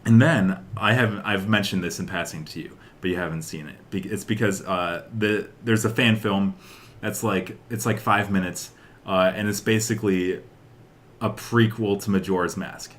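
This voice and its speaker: A man's voice: fairly low pitch, moderate pace. A young man co-hosting a podcast, chatting casually about games and films in an enthusiastic, rambling way with hesitant phrasing. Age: young adult. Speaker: podcast co-host.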